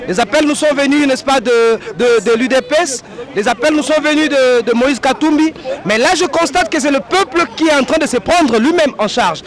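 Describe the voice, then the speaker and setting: Congolese guy talking politics outside, really high voice, repeats himself, bit of crowd around. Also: normal speed. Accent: Congolese French. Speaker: rally participant and spokesperson. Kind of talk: street interview, political commentary. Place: outdoors in a city square. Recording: outdoor street with crowd noise.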